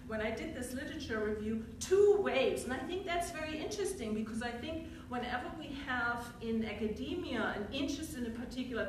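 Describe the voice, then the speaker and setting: A woman's voice: a little high, background noise. German-accented woman, echoey and distant. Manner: earnest, lecturing, thinks aloud with self-corrections. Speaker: academic panelist, biblical scholar. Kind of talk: academic roundtable talk. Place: university seminar room. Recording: very echoey room from far off.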